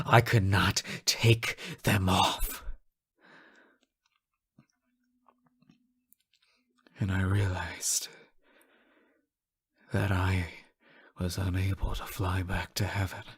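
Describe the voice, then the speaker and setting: A man playing an obsessive, devoted fallen angel in an intimate, theatrical audio roleplay, halting and swinging between hushed murmurs and impassioned outbursts. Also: low voice, slightly rough, full character voice. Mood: brooding. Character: obsessive yandere fallen angel, male, devoted, unhinged lover.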